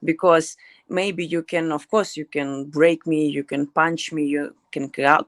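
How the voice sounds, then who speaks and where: A woman's voice: deep voice, fairly even intonation, a little slow, no room sound. A young woman fighter with a Ukrainian accent, speaking blunt, broken English as a guest in a casual interview.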